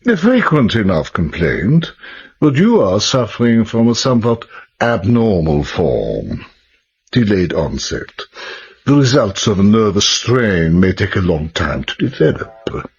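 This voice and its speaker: Very husky, swooping doctor. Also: male voice, on the low side, sing-song, dull audio, heavily acted. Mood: clinical. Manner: measured, clinical, precise. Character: smooth-mannered, clinical Wimpole Street doctor, authoritative and sinister. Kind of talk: radio drama acting.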